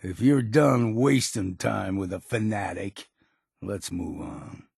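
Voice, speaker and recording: An actor playing a gruff, wary old islander sailor in a video game, with a gravelly, husky voice and salty, folksy, blunt talk. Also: male voice, lowish voice, takes it slowly, darkish tone, no echo, studio quality, exaggerated voice. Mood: bitter, blunt. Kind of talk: scripted video game voice lines.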